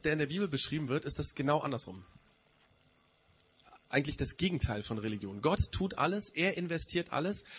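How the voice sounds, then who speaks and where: Male preacher, higher-pitched and husky with a mild cold; informal, explanatory sermon style that restates points. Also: a bit bright. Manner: explanatory, often rephrasing and repeating key points. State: slight head cold. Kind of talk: informal sermon to a church community.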